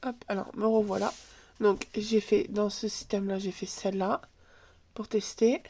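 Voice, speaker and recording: A woman's voice: mid-range voice, level tone, normal speed, darkish tone. A woman with a stuffy nose from a cold, hosting a casual crafts tutorial from her home table and thinking aloud as she works. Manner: chatty, thinks aloud while working, filler words. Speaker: crafts channel host. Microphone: camera filming from above.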